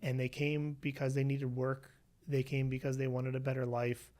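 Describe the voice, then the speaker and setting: A man from Western Pennsylvania, a researcher being interviewed, telling his family story in a relaxed way with many "you know"s. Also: medium pitch, normal speed. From Western Pennsylvania, USA. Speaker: guest, researcher. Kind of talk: conversational interview.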